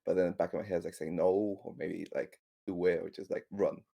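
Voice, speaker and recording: Male RPG player: low, soft-toned, informal, halting delivery with repeated words. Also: flat delivery, vocal fry. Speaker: tabletop game player. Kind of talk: casual in-game chat.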